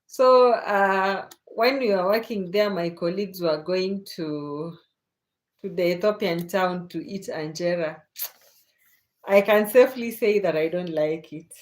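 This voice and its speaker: A woman's voice: fairly low pitch, unhurried. A Kenyan woman hosting a casual late-night livestream, chatting unhurriedly with viewers and laughing often. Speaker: livestream host. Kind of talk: casual chat reading viewer comments.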